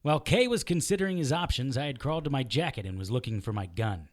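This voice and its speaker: A man's voice: mid-range voice, expressive, fast, natural voice. A man playing a battered, wisecracking private investigator in an audio drama, narrating in a sardonic, hard-boiled manner. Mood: tense narration.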